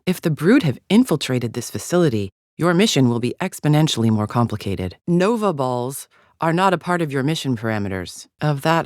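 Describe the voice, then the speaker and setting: A woman's voice: a bass voice, darkish tone, dry recording, studio quality. A woman playing a haughty, contemptuous female alien agent in a video game, speaking formally with clipped, disdainful precision. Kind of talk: scripted video game character lines.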